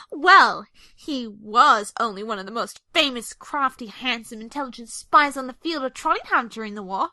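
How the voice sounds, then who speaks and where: An excitable, bookish young mare, acted by a woman talks in a high, bright voice that swoops widely. She is an earnest, easily flustered history buff in an audio drama, recorded close and dry. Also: normal speed, dry recording. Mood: incredulous, admiring. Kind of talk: animated audio drama acting.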